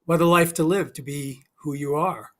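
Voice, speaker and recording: A man's voice: a little high, steady tone, moderate pace. A man hosting an interview over a video call, enthusiastic and complimentary, asking long rambling questions. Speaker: host. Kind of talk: casual interview chat.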